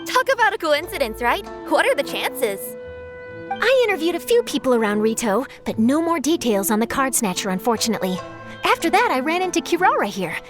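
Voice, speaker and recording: A woman's voice: high-pitched, sing-song, brisk. A woman playing a breezy, playful young reporter in a video game, with a chatty, headline-style way of talking. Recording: loud music behind the voice. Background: game music.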